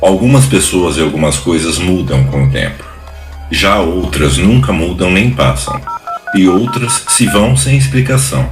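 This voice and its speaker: A man's voice: sits low, expressive, moderate pace. A man with a Brazilian accent solemnly narrating a scripted prologue. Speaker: intro narrator. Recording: echoey voice under loud music.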